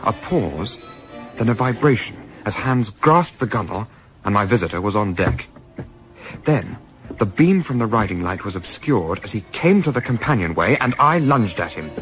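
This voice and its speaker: A man playing a reflective English gentleman narrator of a sailing adventure in a radio play, with measured, literary, wry phrasing. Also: fairly low pitch, lively intonation, faint music behind. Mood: tense.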